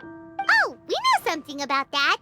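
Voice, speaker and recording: A woman's voice: very high-pitched, huge pitch swings, normal speed, exaggerated voice. A woman voicing a tiny, bossy, chirpy childlike fairy guide in an anime game. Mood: excited. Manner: refers to self in third person, exclaims. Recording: music behind the voice.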